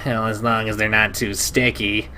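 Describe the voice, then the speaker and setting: A man's voice: lowish voice, little pitch movement, normal speed, bright, forceful tone. A nervous young salamander, read by a man, polite and a little dry in his remarks. He sounds close to the reader's own voice, set inside a storybook narration. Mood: wary.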